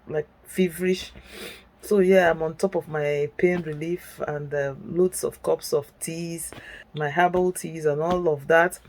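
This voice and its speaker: A woman's voice: deep voice, darkish tone. A middle-aged woman vlogging from bed at home while sick, stuffy and chesty, hesitant with many fillers but staying upbeat. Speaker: vlogger, nurse. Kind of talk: casual story-time vlog.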